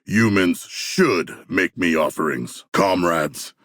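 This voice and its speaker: A man voicing a huge humanoid shark warrior for a video game, solemn and battle-hungry, with a rough, gravelly voice and formal, stilted, grandiose diction. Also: sits low, expressive, takes it slowly, a bit bright, no room sound, studio quality, heavily acted. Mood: solemn, matter-of-fact. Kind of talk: video game character voice lines.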